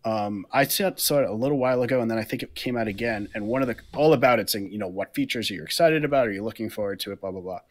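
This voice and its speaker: A man's voice: mid-range voice, racing, hardly any pauses. A man in his thirties co-hosting a podcast from home, talking things through in a chatty way over a headset. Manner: chatty, explaining with fillers. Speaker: podcast co-host. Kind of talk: casual podcast chat. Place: room at home. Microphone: headset on a video call.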